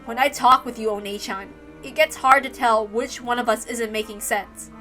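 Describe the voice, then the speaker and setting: A woman's voice: on the high side, steady tone, normal speed, pushed and bright, soft background music. A woman voicing an argumentative, sceptical girl who questions insistently and sounds exasperated, in a dramatic story reading. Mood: weary, exasperated. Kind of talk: dramatic reading of a visual novel.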